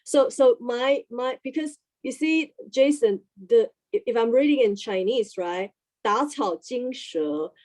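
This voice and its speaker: A woman's voice: a little high, warm tone. A woman in a casual group discussion, asking a question with many fillers and restarts. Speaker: discussion participant.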